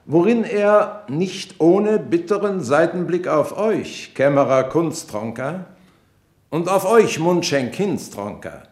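An actor in a German radio play, playing a pompous ruling prince who addresses courtiers with ceremonious, pointed formality in an echoing room. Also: male voice, on the high side, animated, slow pace. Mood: pointed displeasure. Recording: roomy echoing chamber sound.

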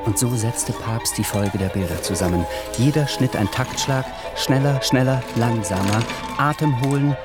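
A man narrating a radio drama in a calm, literary storytelling manner. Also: mid-range voice, normal speed, few pauses. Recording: music underneath.

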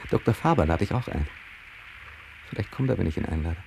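A man's voice: sits low, lively intonation, fairly quick, vocal fry, a little mellow. An actor playing a stubborn, musing young man in a radio play, muttering an inner monologue. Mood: dreamy, musing. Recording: faint background noise and ambience.

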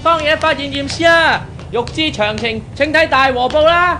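A woman's voice: sits high, moderate pace, sharp tone, soft background music. A woman playing a pushy street newspaper seller, calling out like a hawker in a 1970s Hong Kong Cantonese radio drama. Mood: hawking loudly.

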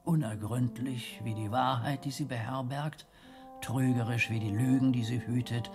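An actor playing a stern, pious old medieval abbot in a German radio drama, speaking in a formal, rhetorical and measured way. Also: male voice, mid-range voice, steady tone, over music, close to an everyday voice. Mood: forbidding, grave.

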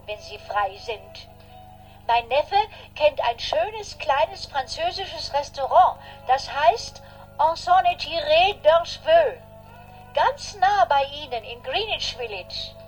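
A woman's voice: high voice, poor audio. An actress playing a chatty, polite old lady who rambles formally in a radio drama phone message. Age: older.

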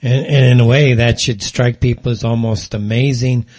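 An American man with a husky, slightly rough voice at an average male pitch. He hosts a political talk show, chatting with his guest and leading with questions and commentary. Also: fairly even intonation, normal speed, darkish tone. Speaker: talk show host, interviewer. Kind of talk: conversational political interview.

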